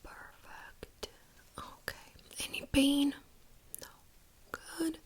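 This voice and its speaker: A woman speaking in a breathy whisper, gently and reassuringly, as a doctor in an ASMR medical exam role-play. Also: high-pitched, level tone. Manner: gentle, reassuring, unhurried clinical explaining.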